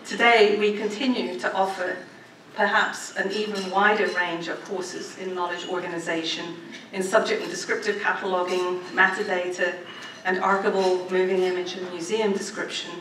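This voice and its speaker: Bright-voiced woman giving a roomy podium speech. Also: on the low side, flat delivery, moderate pace. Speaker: academic speaker at a department anniversary event. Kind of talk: formal prepared speech with informal asides. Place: medium-sized indoor lecture room with audience. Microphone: gooseneck podium microphone. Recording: roomy, distant microphone, faint background noise.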